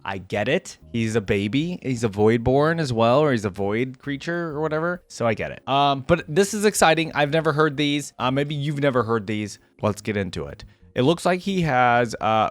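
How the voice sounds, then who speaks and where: A man hosting a reaction video, chatting casually to his viewers in an animated way and laughing often. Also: mid-range voice, no echo. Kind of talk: casual chat reacting to game audio.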